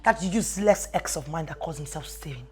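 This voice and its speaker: A woman's voice: deep voice, animated, brisk, a little mellow, soft background music. An actress playing a busy, apologetic young career woman who catches up with her friend. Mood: gossiping. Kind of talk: skit drama acting.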